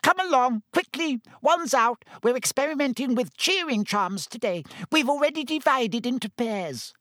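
A squeaky, fussy little professor. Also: male voice, animated, bright, forceful tone, dry recording, clean studio sound, heavily acted. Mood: brisk. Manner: squeaky, brisk, reproving. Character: tiny fussy older male professor. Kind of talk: audiobook character voice.

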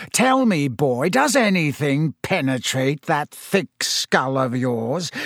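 A man voicing a cold, sneering male schoolteacher, drawing out his words slowly and scornfully. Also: fairly high pitch, no room sound, studio quality, full character voice. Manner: slow, cutting, scornful delivery.

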